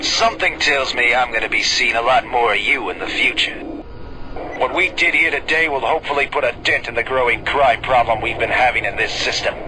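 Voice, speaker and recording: An actor playing a male space security officer who gives brisk, matter-of-fact orders in a video game. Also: close to an everyday voice. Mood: steady. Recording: game music and engine rumble behind.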